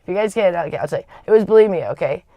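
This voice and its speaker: A woman with a low, raspy voice and a gravelly edge. She talks candidly and wanders as she tells her story, often stopping to restart a sentence. The sound is dry and close. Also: animated, racing, dark tone, dry recording. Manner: rambling and confiding, with frequent restarts. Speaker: livestream host.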